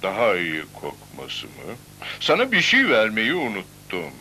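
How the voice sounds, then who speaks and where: A man voicing the heroine's helper and guide in a Turkish-dubbed children's cartoon, explaining things in an animated way. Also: lowish voice, measured pace, faint music behind, heavily acted.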